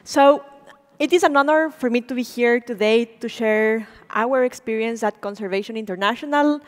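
A woman in her forties, a native Spanish speaker, giving an animated lecture on stage as a program director, engaging the audience with questions. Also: fairly high pitch, normal speed. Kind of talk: public lecture. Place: large auditorium stage. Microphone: stage mic, speaker walking. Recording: long reverb of a hall.

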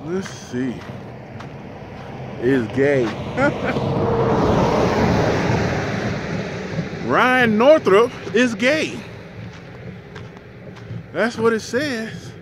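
A man in his thirties, a truck driver, chatting casually to his viewers in a handheld vlog, outdoors by a highway with the phone at arm's length. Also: very animated, very slow, long pauses, background noise. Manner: chatty, talks to viewers as "y'all", laughs easily. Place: outdoors in an empty desert town by a highway. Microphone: phone held at arm's length.

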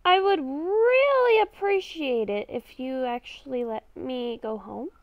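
A woman's voice: high voice, unhurried, no echo, muffled recording. A woman improvising in-character game roleplay, talking to herself in murmurs and exclamations. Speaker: gaming video creator playing a roleplay character.

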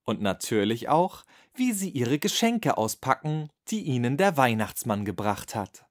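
A narrator telling a children's bedtime story in an expressive, scripted storytelling manner. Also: mid-range voice, unhurried. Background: music.

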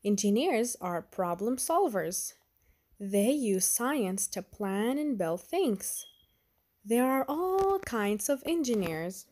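An encouraging storybook principal, read by a woman, instructive and deliberate. She speaks slowly with lively rises and falls in a slightly high voice. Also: heavily acted. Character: encouraging woman school principal giving a tour.

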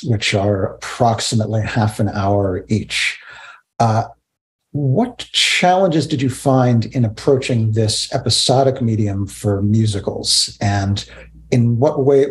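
A man in his fifties interviewing over a video call, phrasing questions in a measured, careful way. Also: fairly low pitch. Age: 50s. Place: indoors, virtual background.

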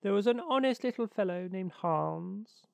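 A man voicing a small, wise male green linnet in a storybook reading, gently probing. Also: high voice, expressive, normal speed, creaky, no echo, pitched above the performer's own voice.

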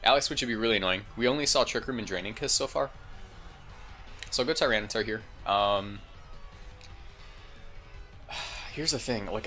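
A man with a fairly low but very bright voice gives casual game commentary, sounding a little congested. He thinks aloud in an informal way over game music. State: slightly congested. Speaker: gaming video host.

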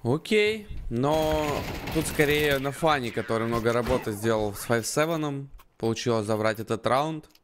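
A man's voice: mid-range voice, lively intonation, normal speed, few pauses. A man casting a shooter match live, conversational and reactive to the action as he analyses it. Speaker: esports match commentator. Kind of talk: live Counter-Strike commentary with casual analysis. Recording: game gunfire and noise behind. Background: gunshots and game ambience.

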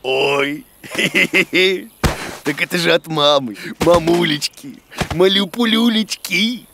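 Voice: mid-range voice, takes it slowly, gravelly, noisy background. An actor voicing a big bearded cartoon creature, blustering, naive and dramatic, swinging between grumbles and exclamations. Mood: overjoyed. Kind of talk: children's cartoon voice acting.

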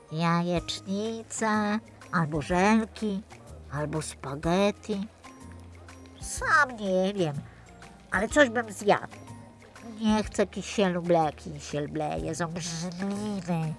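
A woman's voice: mid-range voice, a bit bright. A woman voicing a vain, greedy talking teddy bear who rambles on in a childlike, self-satisfied way for a children's story. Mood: hungry, fussy.

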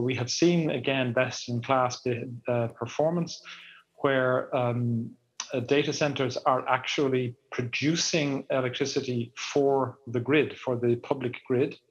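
Husky man on a muffled video call. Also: medium pitch. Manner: measured, with restarts and fillers. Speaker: panelist, EU official. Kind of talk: panel discussion answer.

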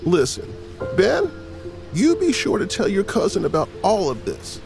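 An actor playing a kindly, earnest adult storyteller, explaining things to children in an audio drama. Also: male voice, high voice, huge pitch swings, unhurried, vocal fry, exaggerated voice. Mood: encouraging. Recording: loud music behind the voice.

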